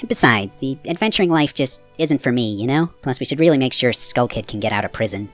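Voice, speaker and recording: A woman's voice: very deep voice, expressive, fairly quick. A woman voicing a frank, exasperated fairy companion in a comic fan dub. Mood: sincere. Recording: background music.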